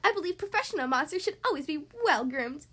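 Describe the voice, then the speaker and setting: A woman's voice: animated, fast talker, bright, forceful tone. A woman voicing a prissy, well-groomed male monster, prim, over-polite and eager to please. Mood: proud. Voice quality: high-pitched.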